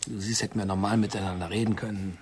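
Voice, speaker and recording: A man's voice: fairly low pitch, fairly even intonation, fast talker, darkish tone, a little hiss or hum, close to an everyday voice. An actor playing a bitter, possessive man in a radio drama, sarcastic and theatrical, moving between muttering and declaiming. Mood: bitter, brooding. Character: bitter, possessive man lecturing his old friends. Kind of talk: German radio drama acting.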